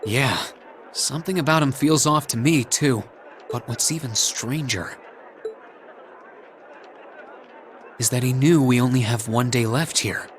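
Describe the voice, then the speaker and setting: An actor playing an earnest, kind young student in a video game, talking in a casual, considerate way. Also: male voice, mid-range voice, moderate pace, a little hiss or hum, lightly acted. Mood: puzzled, resolute. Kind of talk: English-language game voice acting.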